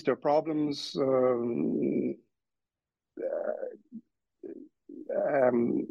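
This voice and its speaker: A man's voice: fairly high pitch, a little mellow, no room sound. A man from Ireland in his fifties, an author being interviewed, speaking hesitantly with many fillers and restarts. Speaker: author guest. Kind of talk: podcast interview. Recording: dull, dry remote audio.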